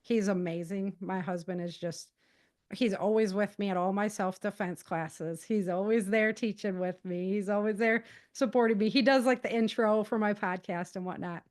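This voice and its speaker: A woman's voice: medium pitch, steady tone, moderate pace, a little mellow. A middle-aged American woman giving conversational interview answers in an earnest, emphatic and informal way. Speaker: podcast guest, author and self-defense teacher.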